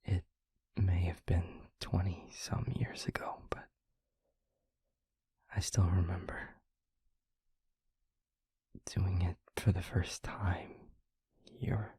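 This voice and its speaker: A very breathy, husky vampire boyfriend acted by a man murmurs low and half-whispered in an intimate audio roleplay. He is gentle and concerned, hesitating often as he reassures his partner, with a slow, careful delivery. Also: little pitch movement, no room sound, exaggerated voice. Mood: gentle, reassuring. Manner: soft, hesitant, halting, reassuring.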